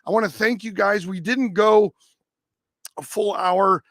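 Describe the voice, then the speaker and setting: Casting: male livestream host, high-pitched and raspy, recorded dry, casual and rambling delivery. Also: unhurried, fairly bright tone, no room sound. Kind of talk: casual livestream chat and clip commentary.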